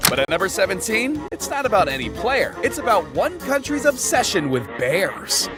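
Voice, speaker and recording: A high-voiced man hosts a football countdown with scripted hype. His delivery swings widely and is full of slang, swearing and exclamations. Music plays clearly behind him. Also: big pitch swings, normal speed. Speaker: host narrating a football countdown video.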